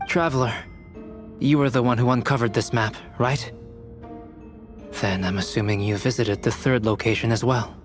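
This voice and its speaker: A man voicing a calm, measured young game character who patiently questions the traveler in a fan dub. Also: medium pitch, soft background music, close to an everyday voice. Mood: calm probing. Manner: patient, deliberate, quietly probing. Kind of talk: fan voice acting of a game cutscene.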